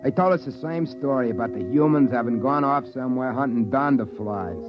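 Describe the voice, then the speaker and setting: Folksy outpost storyteller male actor, mid-to-low voice, homespun talk, old-time radio sci-fi sound. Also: fairly quick, lightly acted. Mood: wry narrating. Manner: colloquial, plain-spoken. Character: folksy trading-post man narrating an alien-planet tale. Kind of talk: 1950s radio drama acting and narration. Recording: muffled old radio recording with music.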